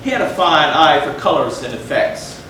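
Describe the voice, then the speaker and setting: A man's voice: fairly high pitch, animated, bright, forceful tone. Distant echoing man giving theatrical gothic reading. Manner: measured, theatrical, deliberate phrasing with pauses. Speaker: readers theatre performer. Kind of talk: dramatic stage reading of a gothic tale. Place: large echoey room, live stage performance. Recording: echoey hall from far off, noisy.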